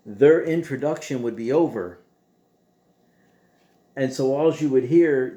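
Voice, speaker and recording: A man's voice: medium pitch, mellow. A man hosting a show in a casual, opinionated monologue, rambling conversationally with emphatic rhetorical asides. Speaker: host of a show about old radio.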